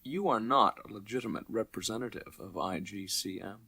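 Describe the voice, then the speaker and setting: A man's voice: fairly low pitch, brisk, background noise, natural voice. A man playing a prim, evasive, spindly alien company director in a radio play, speaking in a formal, fussy, condescending way. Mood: haughty, dismissive. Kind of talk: radio play character acting.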